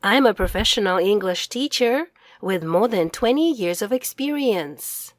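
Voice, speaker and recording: A middle-aged woman reading aloud to children as an English teacher, enunciating each phrase clearly. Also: mid-range voice, dry recording, studio quality. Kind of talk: children's read-aloud lesson.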